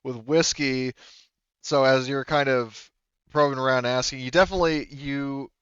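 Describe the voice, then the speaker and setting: A man's voice: medium pitch, fairly even intonation, normal speed, fairly bright tone, smooth, no echo, highs cut off. A man acting as game master, narrating a tabletop roleplaying game in an informal, conversational way. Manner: conversational, informal, occasionally swearing.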